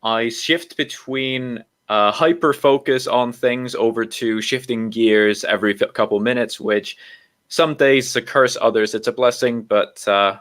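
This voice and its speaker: A man from Iceland talking as an interview guest, relaxed and rambling with frequent ums and self-corrections. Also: mid-range voice, unhurried. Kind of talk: casual interview talk.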